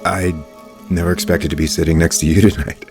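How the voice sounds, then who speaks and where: An actor playing a reserved, gentle man in his thirties in an audio soap opera, hesitant and polite. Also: deep voice, flat delivery, fairly quick, over music. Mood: nervous, warm. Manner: hesitant, soft-hearted, polite. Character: reserved, gentle former sweetheart, quietly longing architect.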